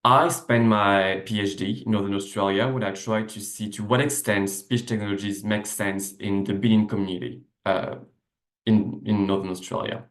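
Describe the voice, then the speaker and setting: A man gives a seminar talk from his desk over a video call. His voice sits a little low, and he explains things step by step, with plenty of fillers and restarts. The laptop mic picks up a noticeably echoey room around him. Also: steady tone, normal speed. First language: French. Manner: explanatory, with frequent "uh" fillers and self-corrections. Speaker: researcher giving a seminar talk. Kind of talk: academic lecture over slides.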